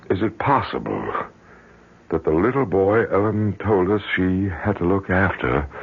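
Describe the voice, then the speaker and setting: Anxious, probing father in vintage radio play; animated, husky delivery, dulled old radio sound. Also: sits low, exaggerated voice. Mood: grave, musing. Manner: earnest, questioning. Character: worried, probing father of a grown daughter. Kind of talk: old-time radio drama acting. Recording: muffled old radio recording.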